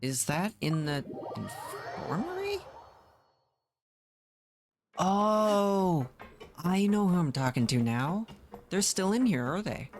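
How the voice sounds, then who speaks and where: A woman's voice: on the low side, big pitch swings, slow, long pauses, a little mellow. A woman game streamer giving casual commentary as she plays a video game. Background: game music.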